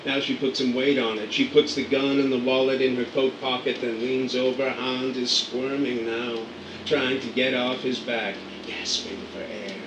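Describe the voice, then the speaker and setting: A man reading stage directions for an amateur radio play, brisk and matter-of-fact. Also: medium pitch, little pitch movement, far from the mic. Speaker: narrator. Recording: echoey room, off-mic, faint noise.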